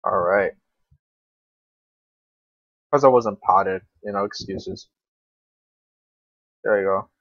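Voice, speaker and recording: Low-voiced young man, muffled lo-fi mic. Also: slow pace, pauses often, a bit bright, dry recording. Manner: informal, grumbling, frequent swearing. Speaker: gaming video creator. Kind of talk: casual gameplay commentary. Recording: dull lo-fi microphone, dry.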